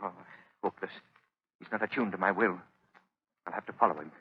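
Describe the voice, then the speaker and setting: A man playing a grave, determined gentleman sleuth in a 1930s radio drama. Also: deep voice, a little fast, close to an everyday voice. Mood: desperate, determined. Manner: intense, brooding, dramatic. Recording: noisy, muffled 1930s radio recording.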